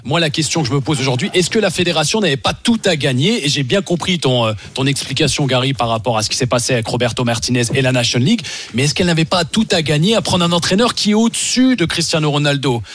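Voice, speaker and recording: Fast-talking, insistent male football pundit. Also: on the high side, animated, fairly bright tone. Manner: insistent, argumentative, repeats himself. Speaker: football pundit, former international player. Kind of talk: heated sports radio debate.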